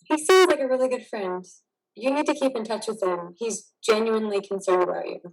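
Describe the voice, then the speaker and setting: Lady acting a tender concerned wife in a stage play, voice a bit high. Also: fairly quick, natural voice, rough recording. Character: caring, affectionate wife of a recovering veteran.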